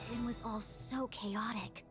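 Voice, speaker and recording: A high-pitched, composed woman official from a fantasy video game, formal and dutiful in every sentence. Music plays clearly behind the voice.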